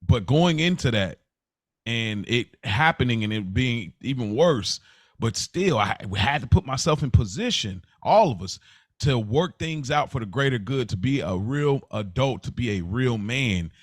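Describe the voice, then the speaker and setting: A man talks in a casual, reflective podcast style, circling back and repeating words to land his point. His delivery is halting, and the sound is dry with very little room in it. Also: medium pitch, dry recording. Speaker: podcast co-host.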